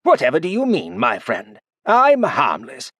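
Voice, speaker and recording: A man's voice: mid-range voice, wildly swooping pitch, unhurried, pushed and bright, no room sound, clean studio sound, pitched above the performer's own voice, full character voice. A man voicing a sly, playful old male cultivator who teases with feigned innocence. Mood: wry.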